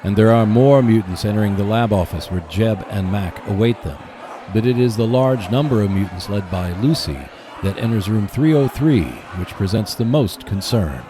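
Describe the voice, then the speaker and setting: Low-voiced man narrating a radio play. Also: normal speed, background noise. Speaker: host and narrator.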